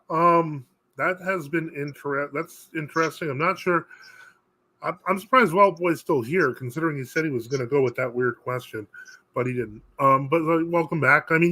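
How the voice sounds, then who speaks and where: A man running a live call-in stream who moves quickly between announcements and updates. His voice is bright and tense and sits a little high. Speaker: host. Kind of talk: livestream Q&A chat.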